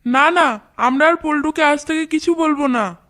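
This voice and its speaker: Woman as scared cartoon stepmother: high-pitched, shrill, submissive, pleading. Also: normal speed, fairly bright tone. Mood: frightened. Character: frightened, submissive young village wife and stepmother. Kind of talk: Bengali cartoon voice acting.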